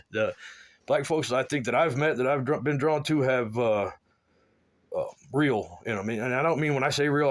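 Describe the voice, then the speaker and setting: A man from South Florida talking in a rambling personal monologue, halting and full of restarts, often trailing into low mumbles. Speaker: man recounting his life.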